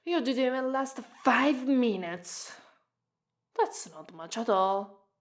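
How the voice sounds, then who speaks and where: A woman's voice: fairly high pitch, animated, slow. A woman with an Italian accent playing a menacing, sadistic policewoman in an ASMR roleplay, taunting a suspect and slipping into whispers. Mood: taunting, threatening. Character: menacing, sadistic, vengeful policewoman interrogating a killer. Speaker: ASMR voice actress.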